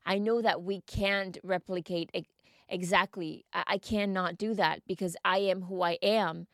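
A woman's voice: lowish voice, steady tone, normal speed, fairly bright tone, no echo, studio quality. A woman from the United States hosting a solo mindset podcast, talking the listener through reflective questions in a coaching manner. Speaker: podcast host.

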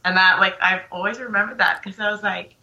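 Bright-toned chatty woman co-host. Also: medium pitch, steady tone, fairly quick, creaky, lo-fi sound. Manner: chatty, laughs often, many "like" fillers. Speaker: podcast co-host. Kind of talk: casual chat.

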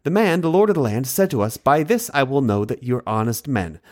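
A man's voice: medium pitch, lively intonation, a little fast, a little mellow, voice pushed up, lightly acted. A man voicing a group of frightened, guilt-ridden grown brothers, pleading in a raised, flustered voice. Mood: anxious pleading.

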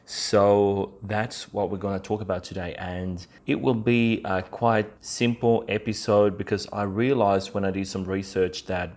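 A man hosting a podcast alone, explaining things in an informal, conversational way with frequent check-ins to the listener. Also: on the low side. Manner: informal, conversational, peppers talk with "you know" and "right?". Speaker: podcast host. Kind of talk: casual explainer podcast monologue.

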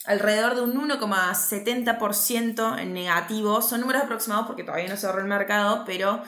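A woman's voice: medium pitch, a bit bright, reverberant. A woman with an Argentine Spanish accent co-hosting a casual finance chat, easygoing and conversational. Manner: easygoing, conversational, quick agreeing interjections. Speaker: co-host of a finance show.

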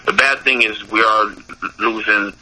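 Haitian-accented musician guest, low-ish and very bright voice, loose rambling talk, tinny phone-line sound. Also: male voice, steady tone, measured pace. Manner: loose, repeats words, lots of filler asides. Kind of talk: casual interview chat.

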